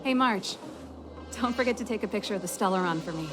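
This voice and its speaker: An actress playing a spirited, protective older sister with firm resolve in a video game cutscene. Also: female voice, medium pitch, fast, natural voice. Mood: earnest, determined. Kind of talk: English game voice acting, cutscene dialogue. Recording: loud music behind the voice.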